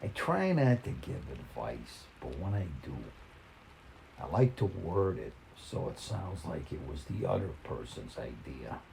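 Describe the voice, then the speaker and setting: Low, slow, gravelly storyteller. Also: male voice, sing-song, dark tone, a little hiss or hum, rough recording. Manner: halting, conversational, folksy delivery. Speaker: storyteller reading own short story. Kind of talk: folksy first-person story reading.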